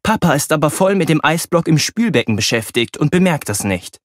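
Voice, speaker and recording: A man narrates a children's story in a slightly high voice, recorded dry and close. His reading is expressive and wry, as a boy telling about his own family. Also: a little fast, no room sound. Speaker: audiobook narrator.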